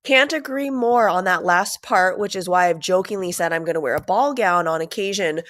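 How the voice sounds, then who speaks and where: Hoarse woman podcast host, friendly joking manner, dry close sound. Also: fairly low pitch, moderate pace, fairly bright tone, dry recording. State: hoarse from a sinus infection. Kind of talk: casual interview chat.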